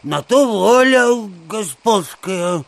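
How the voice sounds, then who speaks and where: A man's voice: high-pitched, lively intonation, slow, no room sound, exaggerated voice. An actor playing a drunken, stubbornly submissive peasant coachman, rambling and stammering in an audio drama. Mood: meekly rambling. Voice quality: creaky. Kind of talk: Russian audio drama acting.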